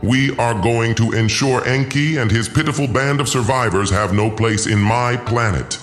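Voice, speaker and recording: A man's voice: mid-range voice, normal speed, fairly bright tone, soft background music, close to an everyday voice. A man playing an arrogant, wrathful alien tyrant who believes himself a god, in a pompous, menacing audiodrama performance. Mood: commanding. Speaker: narrator and lead voice actor. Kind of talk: dramatic first-person audiodrama narration.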